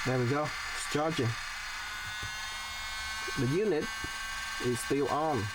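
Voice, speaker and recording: A man's voice: on the high side, takes it slowly. A man giving a casual hands-on DIY demonstration, explaining step by step in short, plain phrases. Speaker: DIY tutorial host. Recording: distant mic, background noise.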